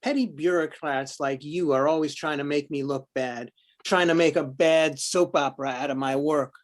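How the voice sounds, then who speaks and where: Man playing a snappish, higher-pitched grumpy researcher. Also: fairly even intonation, normal speed, natural voice. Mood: indignant. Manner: indignant, snappish. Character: jittery, grumpy, defensive male UFO researcher. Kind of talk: amateur readers-theater script reading.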